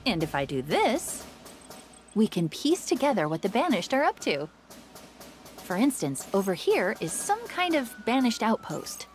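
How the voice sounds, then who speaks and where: A woman voicing a helpful, curious military AI companion in a video game, chatty, wry and eager. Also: fairly high pitch.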